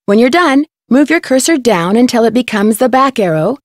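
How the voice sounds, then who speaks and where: A woman playing a curious, upbeat young female detective in a video game, speaking clearly in a polite, friendly, inquisitive way. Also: on the high side, animated, moderate pace, no echo, clean studio sound, natural voice. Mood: cheerful, instructive. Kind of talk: scripted video game voice acting.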